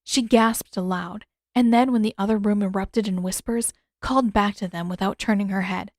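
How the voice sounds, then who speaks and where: A woman's voice: medium pitch, fairly even intonation, normal speed, a little mellow, no room sound. A woman reading fiction aloud as a scripted narrator, close to the mic.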